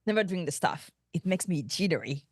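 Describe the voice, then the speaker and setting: A woman's voice: fairly low pitch, lively intonation, brisk, husky, no room sound, studio quality. A woman voicing an awkward, eager young male ensign who stammers while trying to act cool, in a sci-fi comedy table read. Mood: defensive. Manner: stammering, flustered.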